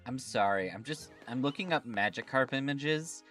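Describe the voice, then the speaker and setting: A man's voice: medium pitch, animated, moderate pace, a bit bright, faint music behind. A man from the American Midwest with a blended Midwestern accent, chatting casually as a co-host. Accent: mixed Midwestern US with British slang. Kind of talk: casual chat.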